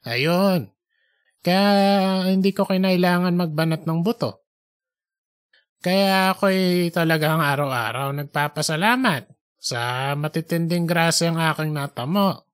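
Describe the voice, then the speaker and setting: A performer voicing a devout, earnest man in his late eighties for an audiobook story, speaking slowly and deliberately. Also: medium pitch, smooth, dry recording, studio quality, close to an everyday voice. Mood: devout, grateful. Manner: slow, deliberate, hesitant openers. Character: pious, earnest 87-year-old Filipino grandfatherly man. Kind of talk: fictional audiobook character dialogue.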